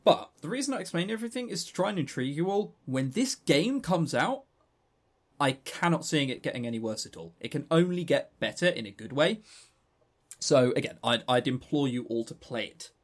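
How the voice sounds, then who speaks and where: A man's voice: on the high side, very animated, brisk. A man co-hosting a podcast, casually reviewing a game and retelling its story in a rambling, chatty way with stammering restarts. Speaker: podcast co-host.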